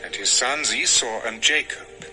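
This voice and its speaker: A man narrating dramatized scripture as a measured, expressive storyteller. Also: mid-range voice, rough recording. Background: music.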